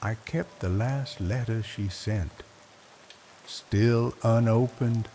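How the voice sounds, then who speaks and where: An older man narrating a bedtime story in a slow, reflective, wistful way, leaving long pauses between phrases. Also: on the low side. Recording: rain noise behind the voice. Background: soft rain ambience.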